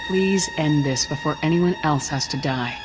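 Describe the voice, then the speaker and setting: A woman's voice: sits low, normal speed, close to an everyday voice. A woman playing a resolute, dutiful lieutenant in a video game, speaking in a firm, earnest military way. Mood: firm, challenging. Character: resolute, dutiful young female soldier, a lieutenant. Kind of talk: video game cutscene acting. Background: music.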